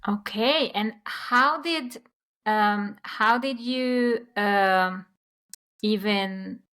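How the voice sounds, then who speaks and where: A woman hosting an interview podcast, framing her questions in an unhurried, hesitant way that repeats words. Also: mid-range voice, fairly bright tone, smooth. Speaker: podcast host. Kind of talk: casual interview chat.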